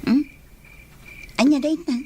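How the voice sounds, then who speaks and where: A high-voiced young Filipino woman played by an actress, heartsick yet polite, dodging questions in radio drama style. There is clear noise behind her. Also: fairly even intonation, slow, a little mellow. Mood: friendly, reserved. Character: troubled young single woman hiding heartache, evasive and polite. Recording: noticeable background noise.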